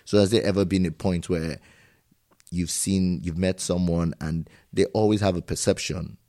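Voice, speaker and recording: A man with a deep, low-pitched voice and a dark, mellow tone. He hosts a casual conversation and speaks earnestly and reflectively, drawing on his own life as an immigrant. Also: steady tone, normal speed. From immigrant living in Germany. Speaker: host.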